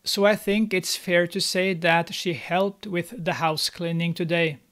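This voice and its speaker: Higher-voiced man narrating a snarky movie recap. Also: fairly even intonation, measured pace, fairly bright tone. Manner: snarky, mocking commentary. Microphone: studio mic, on camera.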